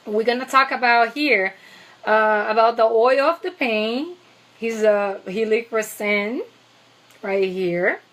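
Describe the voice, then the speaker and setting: A woman's voice: fairly high pitch, takes it slowly, a bit bright. A woman with a heavy Brazilian accent, chatting casually and eagerly about products in a vlog, with many fillers. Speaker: essential oils seller.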